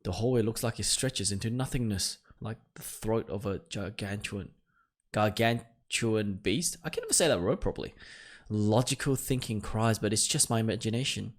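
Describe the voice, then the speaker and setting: A man's voice: fairly low pitch, moderate pace. A man doing let's-play commentary, reading game text aloud and reacting in a jumpy, nervous way. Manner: jumpy, exclaims nervously between read-out passages. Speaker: video game streamer.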